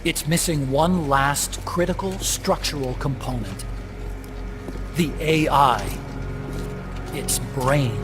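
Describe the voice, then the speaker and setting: Conscience-stricken, bookish scientist for a game cutscene: higher register, earnest, slightly awkward, music clearly behind. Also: male voice. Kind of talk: video game cutscene acting. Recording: music under the voice.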